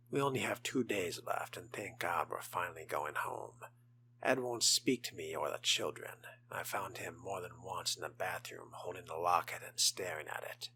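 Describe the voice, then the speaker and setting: Deep-voiced man, theatrical game narration. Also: sharp tone, a little hiss or hum. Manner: theatrical, formal wording. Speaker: role-playing game streamer. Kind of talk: in-character game narration, diary reading.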